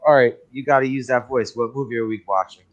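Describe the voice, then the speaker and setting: A man hosting a casual watch party stream, easygoing and quick to laugh. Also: medium pitch, steady tone, lo-fi sound. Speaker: host. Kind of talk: casual chat.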